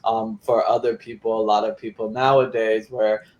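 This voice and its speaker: A young man from Florida, a community activist, giving clear interview answers with some "um"s. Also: lowish voice, little pitch movement, moderate pace, a little mellow, lo-fi sound. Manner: articulate and explanatory, with fillers.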